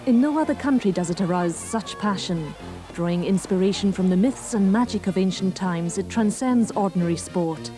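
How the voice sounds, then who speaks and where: Woman narrating over clear music. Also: medium pitch, expressive, moderate pace, poor audio. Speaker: trailer narrator. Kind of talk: scripted documentary trailer narration.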